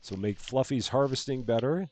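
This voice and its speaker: A man with a calm, soothing voice, casually talking through a game on a live stream and thinking aloud as he plays. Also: medium pitch, moderate pace, soft background music, poor audio. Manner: soft-spoken, rambling, thinking aloud.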